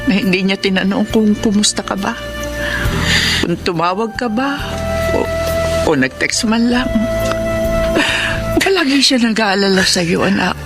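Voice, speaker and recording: A woman acting a gentle, forgiving older widowed mother, tender and earnest in a television drama. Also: medium pitch, lively intonation, a little slow, pauses often. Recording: loud music under the voice.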